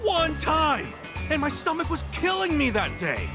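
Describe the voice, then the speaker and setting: An actor voicing a hot-headed but loyal young yakuza in a video game dub, with brash, slangy talk. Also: male voice, very high-pitched, huge pitch swings, a little slow, vocal fry, over music, heavily acted. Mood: indignant, exasperated. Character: hot-headed, loyal, big-hearted low-ranking young yakuza man.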